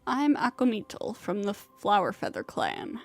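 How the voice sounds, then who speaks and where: A woman's voice: mid-range voice, huge pitch swings, a little slow, gravelly, heavily acted. A woman voicing a burnt-out, self-doubting young female flying rider in a video game, weary and bitter as she vents. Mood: explaining, matter-of-fact. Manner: weary, venting, self-deprecating. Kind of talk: scripted video game voice acting. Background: game music.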